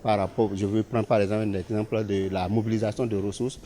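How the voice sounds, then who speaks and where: Low, dark-voiced Beninese man speaking haltingly. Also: level tone, slight background noise. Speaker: local elected official. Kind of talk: news interview statement.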